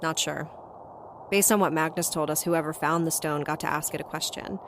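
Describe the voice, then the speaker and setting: A woman's voice: low voice, steady tone, normal speed, noisy background. An actress playing a tough, sardonic young woman who talks bluntly and narrates her own story in an audio drama. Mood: troubled. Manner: wry, blunt, foul-mouthed. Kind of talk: audio drama dialogue and first-person narration. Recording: music bed under the voice.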